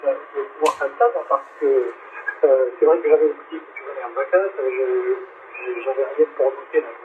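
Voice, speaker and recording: A man heard through a radio transmission, chatting casually as a hobby operator, halting and searching for words. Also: medium pitch, steady tone. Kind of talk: casual two-way radio chat. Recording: narrow, noisy CB radio reception.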